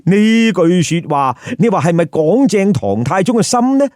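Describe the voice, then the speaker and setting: Animated male storyteller. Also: sits high, dry recording, clean studio sound. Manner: theatrical, emphatic, drawn-out phrasing with rhetorical questions. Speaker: storyteller, host. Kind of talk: lively comic Cantonese storytelling.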